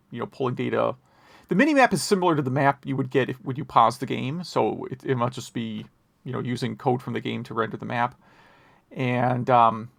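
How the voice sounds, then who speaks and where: A male podcast host with a creaky, gravel-edged voice at a middling pitch. He talks casually and rambles into asides, recorded dry and close. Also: a bit bright, no room sound. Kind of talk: casual retro gaming news chat.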